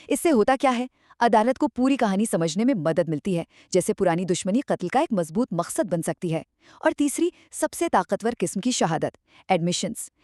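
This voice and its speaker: A woman's voice: medium pitch, darkish tone, no room sound, studio quality. A woman giving scripted educational narration in a structured, explanatory manner.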